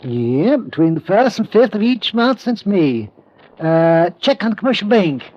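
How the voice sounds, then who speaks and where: A fussy, folksy rental agent in an old radio drama. His fairly high voice bobs up and down as he haltingly tries to oblige, on a dull vintage recording. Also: sing-song, a little mellow, heavily acted. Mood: hesitant. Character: hesitant, obliging small-time rental agent. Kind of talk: 1950s radio drama acting.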